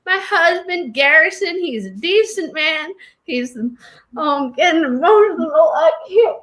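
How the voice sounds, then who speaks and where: A woman's voice: very high-pitched, expressive, measured pace, a bit bright, full character voice. A woman playing a tearful, gushing, churchgoing grandmother as a guest on a comedy show. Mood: weepy gushing.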